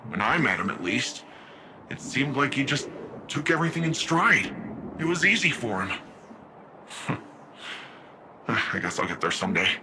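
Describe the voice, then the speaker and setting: A youthful sci-fi rookie hero voiced by a man with a middling, expressive pitch. He sounds casual, candid and a little unsure, a conversational game character with clear noise around him. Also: moderate pace, pauses often, close to an everyday voice. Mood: wistful. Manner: informal, candid. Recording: clear ambient noise behind.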